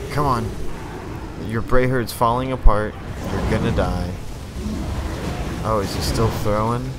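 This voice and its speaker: A man casually commentating his own strategy game as he plays, barking short orders at his units and swearing freely, his voice a bit worn and often clearing his throat. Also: on the low side. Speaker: let's play host. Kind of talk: casual live gameplay commentary. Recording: noticeable background noise.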